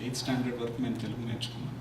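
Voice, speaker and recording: Fast-talking man giving a eulogy. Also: medium pitch, little pitch movement. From India. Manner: reflective, earnest. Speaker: speaker at a condolence meeting. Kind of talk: formal eulogy speech. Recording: echoey hall, distant mic, faint noise.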